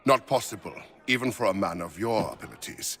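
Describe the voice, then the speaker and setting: An actor playing a calm, persuasive rebel plotter in a video game, articulate and conversational. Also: male voice, lowish voice, fairly quick, a faint crowd. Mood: sly planning. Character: scheming rebel ally, man, calm and persuasive. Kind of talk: video game character dialogue.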